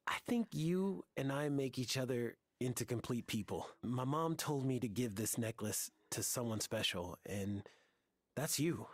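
An actor voicing a playful, earnest young bartender boyfriend in a video game, casual and a little halting. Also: male voice, medium pitch, animated, no room sound. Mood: earnest, tender. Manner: casual, halting when sentimental.

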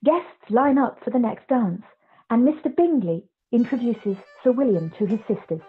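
A woman's voice: a little high, lively intonation, moderate pace, husky, soft background music. A woman narrating a play from a script over a video call. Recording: dull, muffled video call audio.

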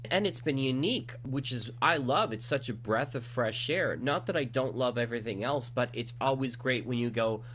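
Mid-pitched man hosting a casual interview. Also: moderate pace, few pauses, fairly bright tone. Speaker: podcast host, interviewer. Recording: faint background noise and hum.

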